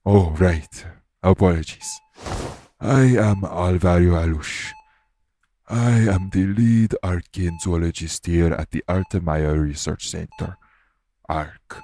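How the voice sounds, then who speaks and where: A deep, creaky-voiced male iguana shifter , acted by a man, speaks as a scholarly archaeologist in an audio roleplay. His tone is dark and soft-edged. He is courteous and measured, choosing formal words to reassure. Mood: measured, explanatory. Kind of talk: audio roleplay acting addressed to the listener.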